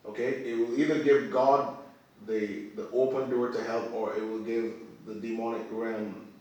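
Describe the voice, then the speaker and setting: A man's voice: medium pitch, flat delivery, normal speed, a little mellow. A man who is a religious teacher, speaking as a guest in a conversation in an emphatic way, repeating phrases for effect. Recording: very echoey room, far from mic.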